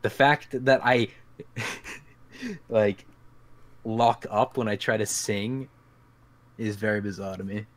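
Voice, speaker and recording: A man's voice: on the low side, steady tone, slow, pauses often, fairly bright tone. A man hosting a casual podcast, talking over a voice call in a rambling, profane, self-mocking way. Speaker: podcast host.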